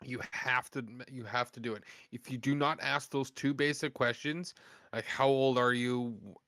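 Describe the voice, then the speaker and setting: Bright-voiced swearing man, dry sound. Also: mid-range voice, fairly even intonation, moderate pace, no echo. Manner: heavy swearing, loose storytelling. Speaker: podcast co-host. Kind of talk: casual chat.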